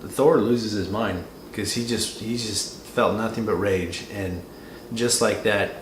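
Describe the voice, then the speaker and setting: A man discussing a film casually, explaining and thinking aloud in a halting, conversational way. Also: fairly low pitch. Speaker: co-reviewer answering and explaining. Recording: roomy echo with faint background noise.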